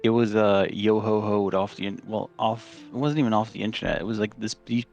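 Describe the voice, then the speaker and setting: A man's voice: lowish voice, steady tone, brisk, vocal fry, a little mellow, soft background music. A man from Chile, a podcast guest, telling rambling stories in casual chat with lots of fillers and restarts.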